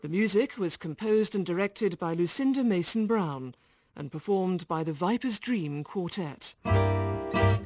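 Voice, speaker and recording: A woman's voice: on the low side, expressive, a little slow. Formal woman announcer over music. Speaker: radio announcer. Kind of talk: scripted closing credits. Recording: music under the voice.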